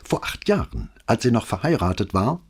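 Man voicing cool police lieutenant, detached, offhand, husky. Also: lowish voice, wildly swooping pitch, normal speed, voice pushed up, heavily acted. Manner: casual, detached.

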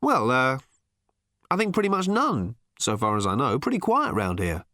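Man playing exasperated, sarcastic ordinary man, swooping pitch. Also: huge pitch swings, normal speed, bright, forceful tone, dry recording, clean studio sound. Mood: forlorn, hesitant. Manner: rambling, sardonic, prone to bellowing outbursts.

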